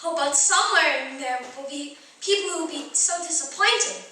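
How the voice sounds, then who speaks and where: A very high, piercingly bright little child's voice, innocent, lonely and pleading. It is old-style radio drama, heard at some distance in a very echoey space. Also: female voice, moderate pace. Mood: earnest, pleading. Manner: plaintive, earnest, questioning. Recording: very echoey hall, distant mic, faint noise.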